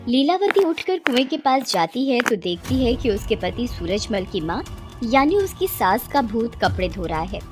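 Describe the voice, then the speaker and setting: A woman's voice: fairly high pitch, very animated, moderate pace, loud music behind the voice. A woman narrating a scripted moral story as a storyteller.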